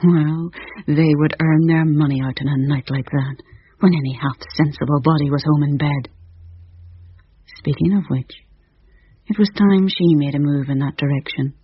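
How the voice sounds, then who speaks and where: A man's voice: a little high, steady tone, normal speed, husky, highs cut off. A man narrating a ghost story in a tart, grumbling inner-voice reading.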